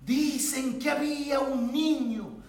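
A man's voice: very high voice, fairly even intonation, darkish tone. A man playing a humble, pleading farmhand and father in a theatrical monologue, with a Latin American Spanish accent. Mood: storytelling. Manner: emotive, drawn-out delivery with repeated pleas. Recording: very echoey room, distant microphone.

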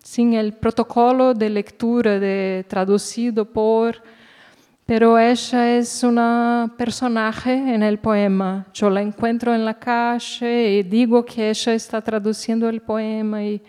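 A woman, a native Portuguese speaker, talking unscripted as a guest author, haltingly searching for words. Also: fairly high pitch, little pitch movement, dark tone, smooth. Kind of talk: unscripted talk at a literary event. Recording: echoey hall reverb.

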